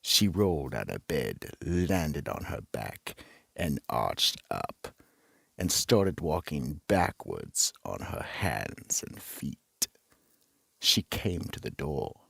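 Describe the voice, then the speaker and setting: Male narrator, rumbling low voice, dramatic swoops, ominous, dry sound, scripted horror. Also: very animated, slow pace, gravelly, fairly bright tone, husky, dry recording.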